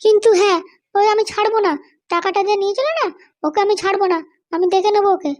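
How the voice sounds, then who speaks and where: A man voicing a bossy, short-tempered middle-aged cartoon wife with a West Bengal accent and a pitched-up voice. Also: steady tone, fast talker, dry recording. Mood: insistent. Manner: scolding, threatening. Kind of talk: comic cartoon dialogue.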